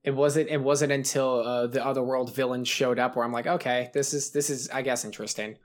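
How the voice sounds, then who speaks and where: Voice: medium pitch, fairly even intonation, a little fast. Rambling, analytical podcast co-host. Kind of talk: casual film review chat.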